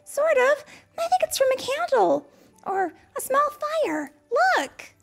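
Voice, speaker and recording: Woman doing a squeaky excited little bird girl. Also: slow, creaky, faint music behind, exaggerated voice. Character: small excitable female bird.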